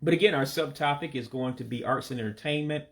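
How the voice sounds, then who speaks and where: Gravelly American man teaching vocabulary. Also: medium pitch. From United States. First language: English. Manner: slows down and repeats words carefully for pronunciation. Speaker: language learner teaching vocabulary. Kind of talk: casual video language lesson.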